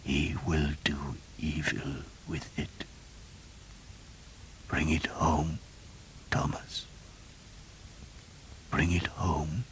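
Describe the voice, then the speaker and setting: A man's voice: extremely low pitch, little pitch movement, slow pace, hardly any pauses, mellow, slight background noise, poor audio. A man voicing a dying older male priest who speaks in a weak, strained whisper. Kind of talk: audiobook character reading.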